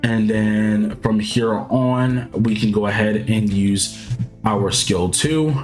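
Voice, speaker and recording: A man with a sick, hoarse-sounding voice, casually explaining his gameplay moves step by step. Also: lowish voice, takes it slowly, soft background music. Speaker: video game commentator. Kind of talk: gameplay walkthrough commentary.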